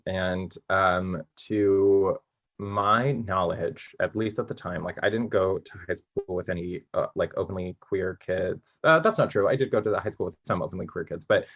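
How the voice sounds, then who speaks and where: Low, husky young man thinking aloud. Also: a bit bright, highs cut off. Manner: halting, thinking aloud, self-correcting. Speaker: playwright being interviewed. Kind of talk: casual interview chat.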